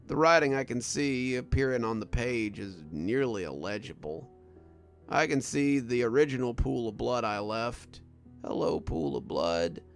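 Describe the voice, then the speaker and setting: A man voicing a wounded, delirious prison guard sergeant in a horror story, speaking in a weary, halting, frightened way. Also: medium pitch, creaky. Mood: dazed resignation. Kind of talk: first-person horror story narration.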